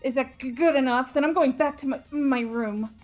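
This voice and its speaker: Teenage girl game character, acted by a woman, high voice, stammering, nervous, defensive, soft background music. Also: normal speed, natural voice. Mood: anxious, defensive. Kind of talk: hobby video game voice acting.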